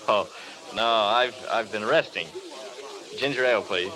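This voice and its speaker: An actor playing a washed-up, wry, hard-drinking film actor in an old radio drama. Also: male voice, lowish voice, measured pace, lightly acted, poor audio. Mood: wry, restrained. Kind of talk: 1930s-40s radio drama acting. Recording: old radio broadcast, busy crowd behind.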